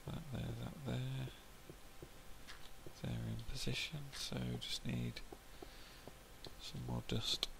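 A man with a hoarse throat, quietly muttering casual gameplay commentary to himself as he builds. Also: mid-range voice, level tone, slow pace, pauses often. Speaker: gameplay video host. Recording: home mic with loud background noise. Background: cows mooing in the game.

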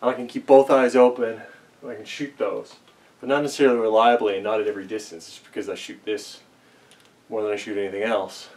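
A man's voice: lowish voice, fairly even intonation, fast. Young guy rambling to the camera, lots of ums, kinda far from the mic in a basement. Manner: rambling, self-deprecating, many fillers. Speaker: archery vlogger. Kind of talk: casual musing vlog. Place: small basement archery range, indoors. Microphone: camera mic at a few meters while he moves and shoots. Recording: distant camera mic with faint noise.

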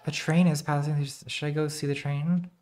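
A woman in her thirties with a very soft, quiet voice, chatting casually to her live-stream chat while playing a game, self-deprecating and laughing often. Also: low-pitched, flat delivery, moderate pace, faint music behind. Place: home streaming room, indoors.

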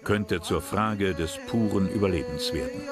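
A man narrating a historical documentary from a script in a measured, serious manner. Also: low-pitched. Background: loud music bed.

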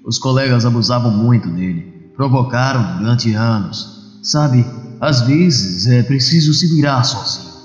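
A man's voice: medium pitch, lively intonation, measured pace, echoey room, long reverb tail, lightly acted. A man playing a resigned, matter-of-fact male schoolteacher in a comic-book dub.

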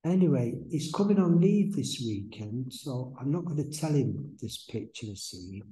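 An older man giving a soft-spoken, rambling spiritual talk at a church lectern, full of restarts and fillers. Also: medium pitch, lively intonation, moderate pace, poor audio. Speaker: guest speaker, medium. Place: church hall, indoors. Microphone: stand mic at lectern.

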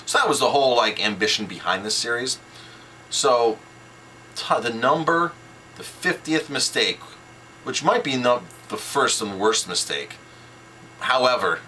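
Middle-aged male small-business coach, forceful bright delivery, slightly low voice, informal and emphatic. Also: a little hiss or hum, low-quality recording. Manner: emphatic, repeats points in short sentences. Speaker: restaurant owner teaching business tips. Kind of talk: informal instructional talk to camera.

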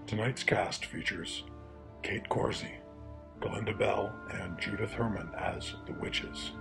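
A man announcing a radio play with a slow, deliberate delivery and a gravelly low voice. Music plays clearly behind him. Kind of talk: formal radio drama introduction.

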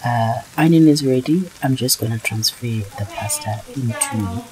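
A woman giving a casual cooking demonstration in a halting, hesitant way, with frequent pauses. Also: extremely low pitch, animated, crowd noise, low-quality recording. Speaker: cooking vlogger. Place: home kitchen, indoors. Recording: clear frying sizzle and stirring noise. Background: food sizzling and being stirred.

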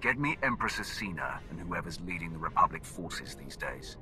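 An actor playing a ruthless dark emperor in a video game, speaking in curt, imperious commands. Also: male voice, low voice, big pitch swings, normal speed, over music. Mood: menacing. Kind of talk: cinematic video game acting.

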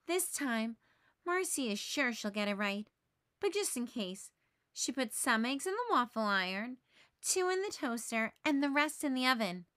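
A woman narrating a children's storybook aloud in a measured, expressive way. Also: on the high side, slight background noise.

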